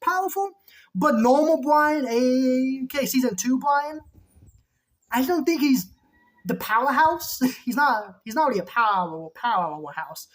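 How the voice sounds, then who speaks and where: A woman's voice: high-pitched, animated, a little slow. A woman giving casual reaction commentary, chatty and rambling, who keeps saying just saying, with a sore throat. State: sore throat, losing her voice. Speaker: video reactor.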